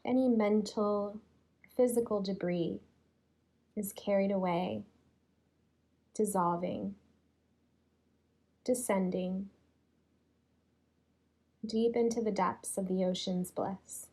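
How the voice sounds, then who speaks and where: A woman leading a guided yoga relaxation in a soothing, unhurried way, leaving long pauses between phrases. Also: mid-range voice, fairly even intonation, mellow. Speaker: yoga nidra teacher.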